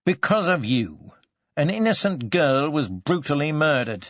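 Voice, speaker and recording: A man voicing a stern, forceful police detective in an audiobook, with a deliberate, biting, hectoring way of talking. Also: on the high side, sing-song, dry recording, dull audio. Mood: angry, threatening.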